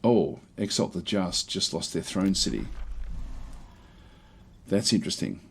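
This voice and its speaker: A man with a croaky voice casually talking through a strategy game, thinking aloud with many fillers and restarts. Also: sits low, unhurried. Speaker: gameplay commentator.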